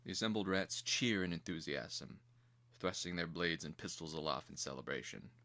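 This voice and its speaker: Casting: male narrator for fantasy audio drama, very low voice with a forceful edge, measured and theatrical. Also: lively intonation.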